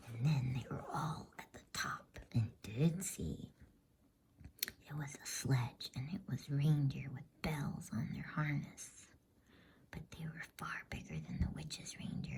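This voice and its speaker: A woman reading a bedtime story as ASMR in a soft, unhurried, breathy, intimate whisper. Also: deep voice.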